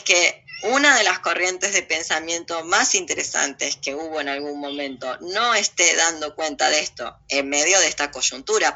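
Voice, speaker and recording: Casting: Argentine woman, ironic and provocative talker, piercingly bright, forceful delivery, slightly dull lo-fi audio. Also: low voice. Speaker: interview guest with own video channel. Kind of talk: opinionated casual conversation.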